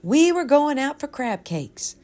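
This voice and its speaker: Lady doing old great-great-aunt from a kids book, remembering fondly, dramatic, swoopy, slow. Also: high-pitched, big pitch swings, darkish tone, pitched above the performer's own voice. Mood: wistful. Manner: dramatic, savoring pauses and repetitions. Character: elderly great-great-aunt fondly reminiscing, animated storyteller.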